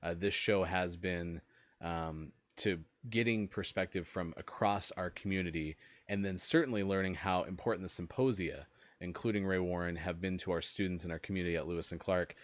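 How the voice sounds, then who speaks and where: A man's voice: low-pitched, moderate pace. A man hosting a live web show, thanking guests and making announcements in an upbeat, friendly way with frequent uhs. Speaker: host.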